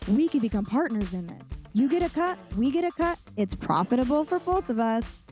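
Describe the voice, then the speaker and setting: Casting: woman playing a creepy salesy announcer, bright lively read. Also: a little high, brisk. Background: music.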